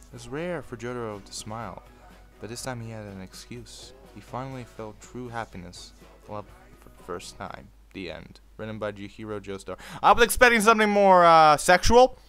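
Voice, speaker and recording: A man's voice: medium pitch, a bit bright. Casual man reading aloud over music. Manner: informal, sweary. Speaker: video host reading fan fiction aloud. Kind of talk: casual commentary and read-aloud storytelling.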